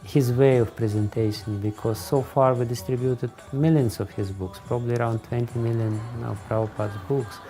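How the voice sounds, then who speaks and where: A man's voice: medium pitch, steady tone, moderate pace, few pauses, mellow, faint music behind. A man answering interview questions in an earnest, emphatic way.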